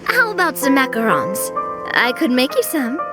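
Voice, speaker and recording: A woman's voice: high-pitched, big pitch swings, normal speed, over loud music. An actress playing a relentlessly sunny young woman adventurer, with a chirpy, earnest, theatrical way of talking. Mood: bubbly, cheerful.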